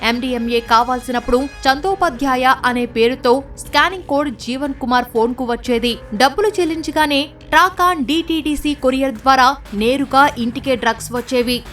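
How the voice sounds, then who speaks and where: Distant-sounding woman, very high voice, scripted news voice-over. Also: fairly quick, a bit bright, soft background music. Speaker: news reporter narrator.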